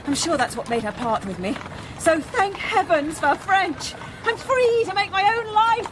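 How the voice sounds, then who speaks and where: Regency radio drama: sly, ambitious young Englishwoman, high-pitched, arch and flattering, faint background ambience. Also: measured pace, noisy background. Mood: defiant, exultant. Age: young adult. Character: witty, scheming, ambitious young Regency woman.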